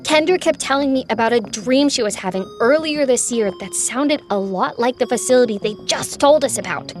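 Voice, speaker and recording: A woman's voice: fairly high pitch, lively intonation, a little fast, faint music behind, lightly acted. An actress playing an earnest teenage schoolgirl in an audio drama, confiding in a friend. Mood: uneasy, earnest.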